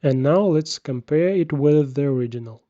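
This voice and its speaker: A man narrating a scripted software tutorial, giving measured step-by-step instructions. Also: on the high side, dry recording, muffled recording.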